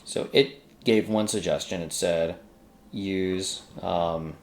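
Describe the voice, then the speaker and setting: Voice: deep voice, steady tone, takes it slowly. A tutorial host thinking aloud casually in a screen-recorded coding demo, with informal asides and some keyboard typing. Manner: informal, exclamatory asides to self and software. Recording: faint background noise, keyboard typing.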